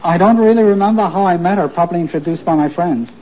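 A man's voice: sits high, quick pace, a little hiss or hum. A man in his forties from Austria who chats as a quiz contestant in a dry, opinionated way. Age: 40s. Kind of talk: casual chat on a quiz show. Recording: dull old broadcast recording.

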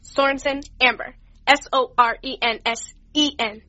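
An actress playing a flippant, slangy, defensive young witness in an audio drama. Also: female voice, sits high, steady tone, moderate pace, bright, forceful tone, no room sound. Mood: sassy, defensive. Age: young adult. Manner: sassy, slangy, sarcastic. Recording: dull lo-fi audio with music underneath.

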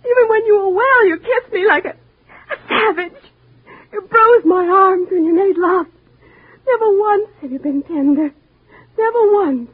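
A woman's voice: on the high side, normal speed, breathy edge. A woman playing a timid wife turned cold killer. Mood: sobbing hatred. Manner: quiet and chilling, breaking into sobs. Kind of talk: old-time radio drama acting. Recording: muffled lo-fi old radio recording.

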